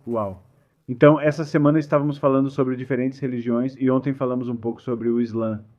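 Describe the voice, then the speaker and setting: A man's voice: mid-range voice, fairly even intonation, brisk. A man from India leading a visiting group, giving an informal spoken introduction in a dull, lo-fi recording. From New Delhi, India. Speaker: leader of a visiting student group. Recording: dull, lo-fi sound.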